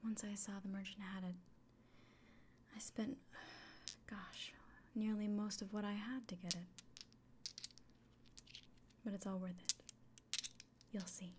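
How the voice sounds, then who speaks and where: A woman playing a friendly fantasy innkeeper in a soft, breathy, often whispered ASMR roleplay, speaking gently and without hurry. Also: mid-range voice, little pitch movement, slight background noise, close to an everyday voice. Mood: quietly proud. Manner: gentle, unhurried, caring. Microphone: close microphone.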